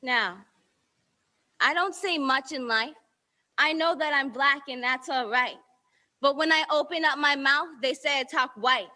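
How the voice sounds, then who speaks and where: A teenage girl performs her own spoken word poem, riding its rhymes with a steady rhythm. Her voice sits high and rings bright and forward. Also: unhurried. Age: teens. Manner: rhythmic rhyming recitation, defiant at times. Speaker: student poet.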